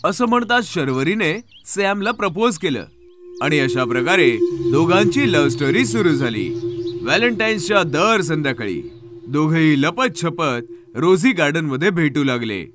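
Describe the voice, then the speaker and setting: A man's voice: on the high side, big pitch swings, normal speed, a bit bright, slight background noise. A man reading scripted narration as the storyteller of a horror tale.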